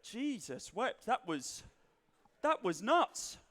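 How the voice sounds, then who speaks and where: A young man casting a live esports match, excitable and shouting at big plays, his voice going hoarse. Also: high-pitched, slow. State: losing his voice. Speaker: esports caster. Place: live event stage.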